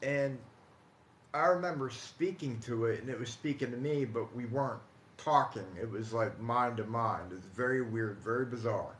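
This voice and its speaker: An American man in his forties talks casually to live viewers in a roomy, echoing space with faint noise behind him. He tells stories in a halting, conversational way, often doubling back on his words. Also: mid-range voice, fairly bright tone. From Ohio, United States. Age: 40s. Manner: halting, repeats himself, conversational. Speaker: host of a paranormal storytelling live stream. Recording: roomy echo, light background noise.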